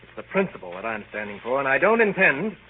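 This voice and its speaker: A man plays a middle-aged father, stern and grumbling, with a medium-pitched voice. He lectures and dismisses gruffly in old radio drama style. The sound is narrow and tinny with faint hiss. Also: big pitch swings, fast talker, lightly acted. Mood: stern refusal. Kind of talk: 1930s radio drama acting. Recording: tinny, hissy old 1930s radio recording.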